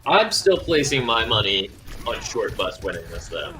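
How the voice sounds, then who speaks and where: A man with a lowish but bright, forceful voice adds short takes and analysis beside the main caster. A faint hiss sits behind him. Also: moderate pace, poor audio. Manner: relaxed, brief agreeing remarks and analysis. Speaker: color commentator. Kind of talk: esports commentary.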